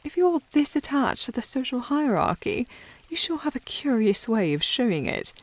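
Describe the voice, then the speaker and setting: Casting: actress as prim, snooty young lady of the manor, British accent, fry-edged voice, coy and prickly, intimate period ASMR roleplay. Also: a little high, big pitch swings, normal speed, no echo. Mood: indignant. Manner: prim, snooty, formal phrasing with coy indirectness. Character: haughty, flustered young noble lady of the house, coy. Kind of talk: intimate ASMR historical romance audio roleplay.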